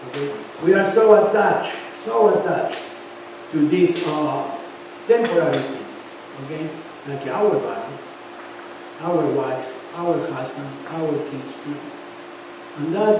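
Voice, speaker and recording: A man giving a sermon at a temple, talking haltingly with many fillers. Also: fairly high pitch. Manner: leads call-and-response chanting. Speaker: lecturer at a temple. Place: temple hall. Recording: echoey hall, mic at a distance, noisy.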